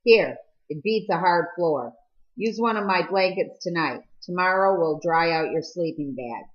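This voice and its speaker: A woman's voice: lowish voice, animated, unhurried. A practical, easygoing man from a storybook, read by a woman. He gives instructions in a matter-of-fact way. The audio is a little lo-fi. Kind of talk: audiobook character dialogue.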